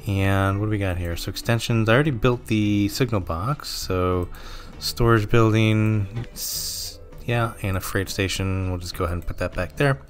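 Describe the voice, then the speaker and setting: Male let's-play commentator, lowish voice, laid-back think-aloud delivery, mildly sore throat, faint music bed. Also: normal speed, few pauses. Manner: relaxed, thinking aloud with frequent ums and self-corrections. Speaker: gameplay commentator.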